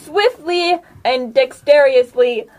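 A woman's voice: high voice, takes it slowly, darkish tone. A woman narrating a casual tabletop roleplaying game as game master, with some noise behind her.

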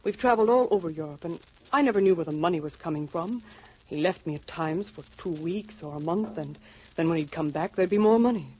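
An actress playing an anxious wife in an old radio detective drama, husky and earnest in a melodramatic, pleading way. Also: female voice, lowish voice, a little fast, slight background noise, lightly acted. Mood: distressed. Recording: muffled old radio recording.

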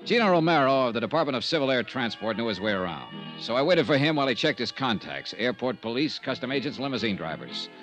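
An actor playing a shrewd, hard-boiled insurance investigator in a 1950s radio detective drama, clipped, dramatic and wry. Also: male voice, mid-range voice, sing-song, fast talker, hardly any pauses, over music, lightly acted. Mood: crisp, narrating.

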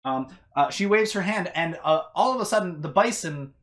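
A game master narrates a tabletop fantasy game in a voice of middling pitch. The delivery is improvised and conversational, halting often and stammering over words while describing the scene. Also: lively intonation, a little hiss or hum.

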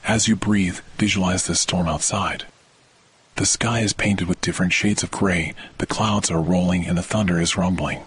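A man hosting a guided sleep meditation, speaking in a soothing, measured, scripted delivery. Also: fairly even intonation, a little hiss or hum. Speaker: meditation podcast host. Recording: thunder ambience under the voice. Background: thunder rumbles.

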